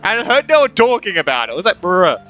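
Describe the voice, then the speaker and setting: A man's voice: sits high, wildly swooping pitch, quick pace, fairly bright tone, lo-fi sound. A man doing casual live commentary as a gaming streamer, rambling and repeating himself as he reacts. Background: game music.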